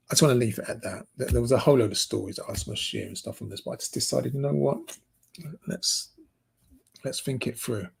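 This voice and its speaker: A middle-aged man with a British accent talks through community news in an earnest, halting way. He pauses often, restarts his sentences and leans on fillers. His voice is gravelly and very husky, with a rough edge throughout. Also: on the low side. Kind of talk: spoken commentary.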